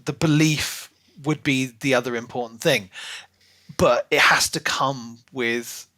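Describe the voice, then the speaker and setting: A man's voice: mid-range voice, fairly even intonation, a little slow, sharp tone. A man co-hosting a podcast, talking informally and casually as he discusses a book. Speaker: podcast co-host.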